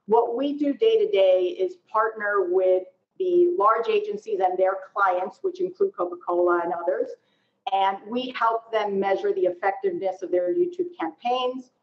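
A woman's voice: medium pitch, moderate pace. A woman in her forties speaking as a panelist, explaining her professional work conversationally on a video call from home. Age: 40s. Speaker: panelist, research executive. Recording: slightly dull video-call audio.

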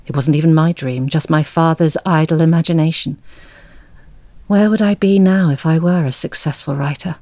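An actress playing a wistful would-be storyteller in an audio drama, speaking in a hushed, measured, reflective way. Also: female voice, sits low, no echo. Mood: resigned, earnest. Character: wistful woman confessing as a lifelong would-be storyteller.

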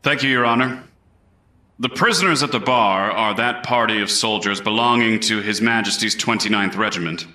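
A man playing a grave, earnest colonial Crown prosecutor in a historical courtroom drama, speaking in formal, deliberate oratory. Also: medium pitch, sharp tone. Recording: echoey room.

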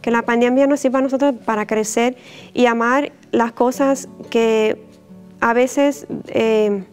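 Gentle Puerto Rican-born nun, higher voice. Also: female voice, steady tone, smooth, faint music behind. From Puerto Rico. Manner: gentle, unhurried, with many soft fillers and little laughs. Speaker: religious sister. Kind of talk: personal testimony to camera. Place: indoor church chapel, mid-sized. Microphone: lapel mic.

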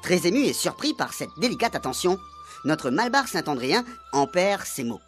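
A woman from narrating a radio comedy serial in a lively storytelling manner. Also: on the low side, normal speed, soft background music. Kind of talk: scripted radio drama narration.

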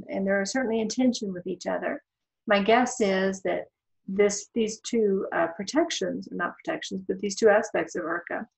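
A woman law instructor narrating a slide lecture in a thoughtful, explanatory way, pausing with ums and restarting her sentences. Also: mid-range voice, gravelly, poor audio.

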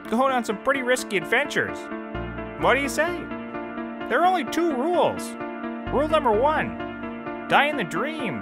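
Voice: sits high, very animated, normal speed, long pauses, music in the background. An actor in a scripted comedy playing a brash, hapless private detective who rambles and corrects himself. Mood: eager, pitching. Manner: rambling, self-correcting, emphatic. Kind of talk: scripted audio comedy acting.

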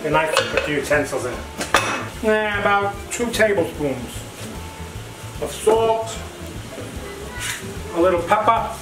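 A man's voice: high voice, expressive, unhurried, pauses often. A middle-aged man hosting a casual cooking demonstration in short, exclamatory remarks, recorded in a home kitchen. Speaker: cooking show host. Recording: roomy echoing kitchen, music behind.

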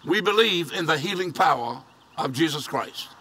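An older man preaches from an outdoor podium with a bright, high-set voice. He is earnest and hammers home his key phrases. Vehicles hum faintly behind him. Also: animated, moderate pace. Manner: earnest, repeating phrases for emphasis. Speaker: pastor. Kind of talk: sermon. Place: outdoor church parking lot. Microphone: podium mic from a distance.

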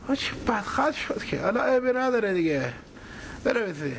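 A man's voice: sits high, very animated, creaky, a bit bright, slight background noise, heavily acted. A man voicing an earnest, lovesick male puppet in a TV comedy, bossy and pleading as he dictates phrase by phrase. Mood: dictating, earnest.

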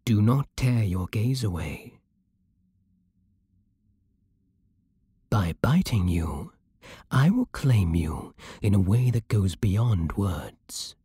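A man playing a possessive, commanding vampire king in an intimate ASMR roleplay, with a hushed, breathy British delivery that is slow and deliberate. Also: fairly low pitch, animated, dry recording, clean studio sound, exaggerated voice. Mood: seductive, solemn.

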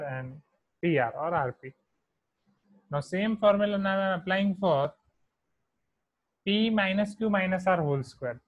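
Indian guy teaching maths on a video call, kinda high voice, slow and careful, dry close mic. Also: dry recording, poor audio. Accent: Indian English. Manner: slow, deliberate dictation of terms while writing on a whiteboard. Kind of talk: online class teaching.

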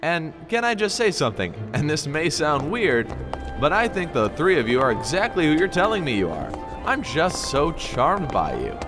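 A man playing a fussy male train concierge who stays formal and courteous even when flustered. The voice sits a little high and swings lively in pitch. It is a fan comic dub read over music. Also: normal speed. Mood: polite.

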